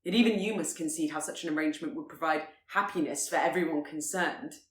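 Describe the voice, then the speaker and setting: A woman voicing a proud, reserved gentleman in a period romance audiobook, speaking formally and with restraint. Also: sits low, fast, reverberant, close to an everyday voice. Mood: stern, clipped. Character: proud, stiff, reserved gentleman, earnestly courting his betrothed.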